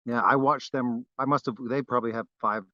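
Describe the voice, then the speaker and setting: Unhurried man from Barbados, dry and dull. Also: mid-range voice, fairly even intonation, sharp tone, dry recording. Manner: unhurried, modest, with frequent fillers and restarts. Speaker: guest wood carver. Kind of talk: relaxed interview chat.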